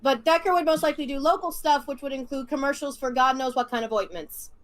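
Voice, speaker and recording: Playful high-voiced woman teasing. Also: fast talker. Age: 30s. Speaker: livestream co-host. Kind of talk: casual chat.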